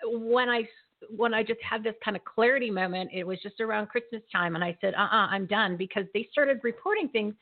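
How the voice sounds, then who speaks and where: A woman's voice: medium pitch, brisk. Jersey woman hosting a live web show, talky, restarts a lot, sounds thin like over a phone. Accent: New Jersey. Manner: chatty, restarts sentences, laughs often. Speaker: host. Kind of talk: live online show chat. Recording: phone-like narrow audio.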